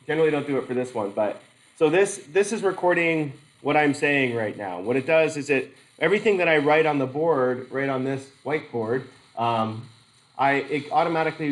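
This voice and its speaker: A middle-aged man with a cold teaching a psychology methods class, halting and restarting as he questions students in a whiteboard classroom. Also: mid-range voice, low-quality recording. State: has a cold. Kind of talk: classroom lecture.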